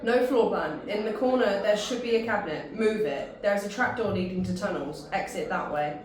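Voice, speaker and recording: A woman's voice: mid-range voice, normal speed, very echoey, close to an everyday voice. A woman voicing an exasperated, brusque male army sergeant.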